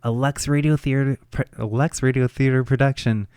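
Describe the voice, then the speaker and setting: A man with a medium-pitched voice presents vintage radio programs as a host. He reads from a script in a measured, storytelling manner, and the sound is close and dry. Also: fairly even intonation, a little mellow, smooth, dry recording. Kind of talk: scripted introduction to old-time radio programs.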